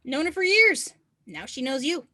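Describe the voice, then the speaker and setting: A woman's voice: high-pitched, big pitch swings, normal speed, bright, forceful tone, dry recording, studio quality, exaggerated voice. A woman reading an encouraging, self-reliant non-binary teenage snowboarder at a screenplay table read. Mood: upbeat.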